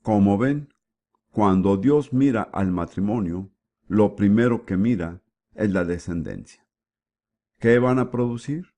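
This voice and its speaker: A man giving the voice-over for a sermon, with a measured preaching delivery and frequent rhetorical questions. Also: lowish voice, dark tone. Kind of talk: dubbed sermon.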